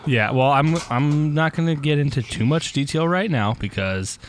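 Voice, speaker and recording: A man co-hosting a casual podcast, still recovering from losing his voice, talking in a relaxed, easygoing way. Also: a little high, moderate pace, a little hiss or hum. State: voice partly lost, recovering. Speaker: podcast co-host. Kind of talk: casual chat.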